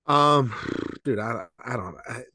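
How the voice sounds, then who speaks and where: A man's voice: medium pitch, takes it slowly, bright, forceful tone, slightly rough, no echo, studio quality. A man co-hosting a wrestling podcast, talking casually and hesitantly, with many ums and restarts. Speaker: podcast co-host.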